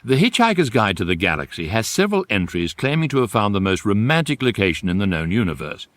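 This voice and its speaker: An actor playing a wry guidebook narrator in a radio comedy, measured and deadpan in his storytelling. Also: fairly low pitch, no echo.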